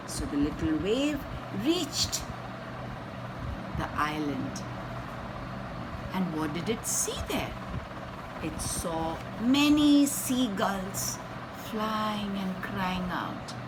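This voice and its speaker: Animated, unhurried woman storyteller for children. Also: mid-range voice. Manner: expressive, asks the children questions and explains simply. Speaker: children's storyteller and channel host. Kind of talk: read-aloud picture book storytelling for young children. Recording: clear background noise.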